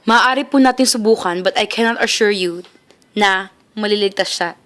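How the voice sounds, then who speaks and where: A woman's voice: mid-range voice, normal speed, fairly bright tone, close to an everyday voice. A young woman with a Filipino accent playing a grave, formal doctor in an amateur radio drama. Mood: grave, regretful. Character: grave, formal doctor delivering frank medical news.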